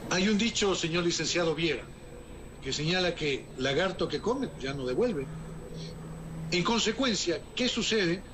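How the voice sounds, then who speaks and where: A man with a fairly high voice speaks as an economist answering an interviewer. He argues emphatically and formally, often posing rhetorical questions before answering them. Faint noise hums under the recording. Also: lively intonation, moderate pace. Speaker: economist guest.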